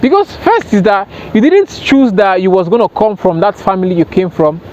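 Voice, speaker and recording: A man's voice: high voice, lively intonation, normal speed, darkish tone. A man speaking earnestly and emphatically in an impromptu motivational talk to camera, often repeating himself for stress. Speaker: self-help vlogger. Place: outdoors by water, sitting on a rail. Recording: dull lo-fi sound, outdoor noise.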